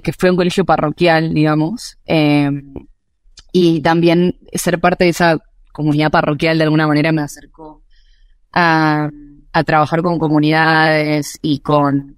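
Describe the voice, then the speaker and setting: Argentine woman in her 30s, interview guest, smooth and dry close sound, chatty and full of fillers. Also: low-pitched, no room sound. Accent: Buenos Aires Spanish. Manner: chatty, lots of fillers and restarts. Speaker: guest, startup founder. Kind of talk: casual interview answers.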